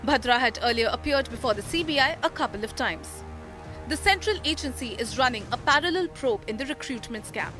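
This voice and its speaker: A woman's voice: high voice, animated, brisk, bright, forceful tone. A woman narrating a scripted television news report as a voice-over. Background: music bed under voice-over.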